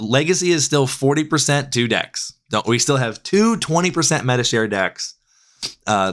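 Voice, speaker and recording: A man with a raspy voice, hoarse from a cold, casually breaking down card game decklists as a podcast host, often correcting himself mid-sentence. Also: medium pitch, a bit bright. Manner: loose, rambling with frequent self-corrections. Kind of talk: casual deck analysis.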